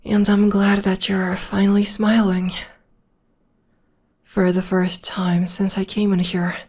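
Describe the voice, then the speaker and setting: A young woman voicing a tender, protective witch girlfriend in an ASMR roleplay, speaking softly and often whispering close to the microphone. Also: medium pitch, completely flat delivery, takes it slowly. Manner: soft and soothing, often whispering. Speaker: voice actor.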